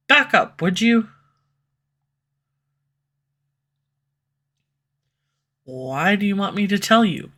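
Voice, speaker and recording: A woman's voice: medium pitch, a bit bright, lightly acted. A woman voice actor playing a proud, guarded female villain who swears and turns defensive when pushed, recorded on a USB condenser mic. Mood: defensive, heated. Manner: curses often, defensive and flustered when pressed. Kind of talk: audio roleplay acting. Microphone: USB condenser microphone.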